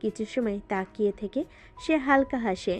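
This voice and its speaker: A woman's voice: medium pitch, moderate pace, soft background music. A woman narrating a scripted romantic story in a dramatic style.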